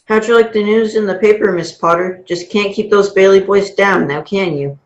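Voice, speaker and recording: A man's voice: high voice, normal speed, soft background music, lightly acted. A man playing an absent-minded, flustered old uncle in a radio drama, with a fretful, dithering manner. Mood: gleeful. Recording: roomy, dull lo-fi sound.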